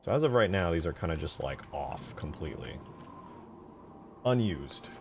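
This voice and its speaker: A man giving casual livestream commentary as a game modder, informal and low-key, muttering to himself as he works. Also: very animated, moderate pace, background noise. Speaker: game modder streaming his work. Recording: music behind the voice. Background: music.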